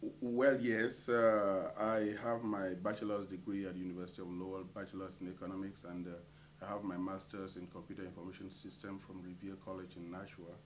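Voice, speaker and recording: A middle-aged man from Cameroon, a community association treasurer, answering interview questions with many hesitant pauses. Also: low-pitched, level tone, slight background noise.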